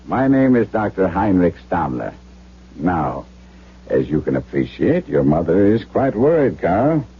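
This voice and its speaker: Muffled hissy old radio recording, earnest young doctor, low expressive voice, formal, measured. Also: male voice, breathy edge, full character voice. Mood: gentle, persuasive. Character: earnest young German doctor, principled, anxious to help. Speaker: narrator and lead character. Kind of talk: 1970s radio drama acting, with diary narration.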